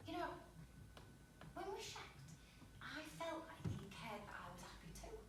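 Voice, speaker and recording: Stage monologue: actress plays wounded, sweary young woman, candid and joking, recorded from far off with clear background noise. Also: high-pitched, big pitch swings, normal speed. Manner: candid, blunt, swinging between joking and hurt. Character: wounded, sweary, self-deprecating young woman venting about an ex. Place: small pub theatre stage. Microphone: distant camera or audience microphone. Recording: echoey room from far off, noisy.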